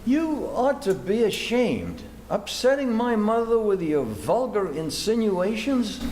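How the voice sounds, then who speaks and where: Sullen, superior young son, radio comedy, male amateur, very high voice, roomy recording. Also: sing-song, slow pace, a little mellow, full character voice. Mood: indignant. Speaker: amateur actor. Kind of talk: old-time radio comedy acting. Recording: echoey room, faint noise.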